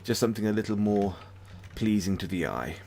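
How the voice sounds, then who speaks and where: A British man hosting a casual livestream, chatting hesitantly with many pauses and restarts. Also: lowish voice, lively intonation, a little mellow, a little hiss or hum. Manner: hesitant, lots of ums and restarts, friendly. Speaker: ASMR channel host.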